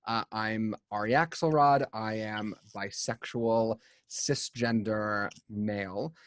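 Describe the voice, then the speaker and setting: Guy hosting an online panel, slow and low-ish, dry close sound. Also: steady tone, dry recording. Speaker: panel host. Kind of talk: video call panel discussion.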